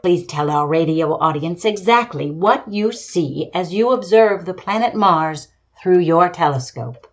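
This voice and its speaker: A woman's voice: fairly low pitch, animated, unhurried, few pauses, lo-fi sound. Woman playing a poised radio field commentator. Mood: inquisitive, polite. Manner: formal, descriptive, courteous. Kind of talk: 1930s radio drama live commentary.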